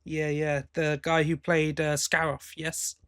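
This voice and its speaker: A British man with a voice pitched a little high for a male speaker hosts a casual podcast. He is chatty and rambling, often breaking off mid-thought to correct himself or take up another. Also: completely flat delivery, a little slow, a bit bright, clean tone. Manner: chatty, rambling, self-interrupting. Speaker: podcast host. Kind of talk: casual chat.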